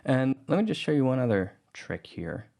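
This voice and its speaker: A man narrating a music-editing tutorial in a relaxed, conversational way. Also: medium pitch, moderate pace, vocal fry. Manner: relaxed, conversational, thinking aloud.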